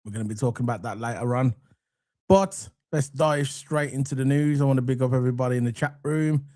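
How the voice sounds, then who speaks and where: A man hosting a casual football live stream, croaky from a cold, loose and chatty with lots of ums as he talks to the chat. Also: medium pitch, brisk. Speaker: football channel host. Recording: faint background music under voice. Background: soft background music.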